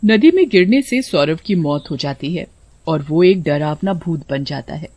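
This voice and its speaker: A woman's voice: sits low, expressive, normal speed, a little mellow, no room sound. A woman giving scripted narration for a cartoon story.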